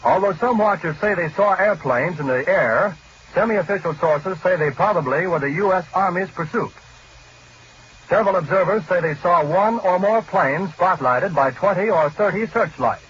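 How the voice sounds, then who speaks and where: A male radio reporter delivers a scripted 1940s news bulletin. His voice is fairly high for a man and his delivery is formal and factual. The vintage broadcast sounds dull, with faint noise. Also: normal speed, fairly bright tone. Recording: muffled, noisy 1940s radio recording.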